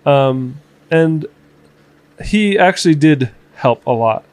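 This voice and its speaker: A man in his thirties with a somewhat high voice chats about his past in a casual home podcast. He rambles and backtracks often, piling up fillers and restarts. The recording is close and dry, with no room sound. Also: expressive, slow, no room sound. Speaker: podcast co-host. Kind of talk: casual chat.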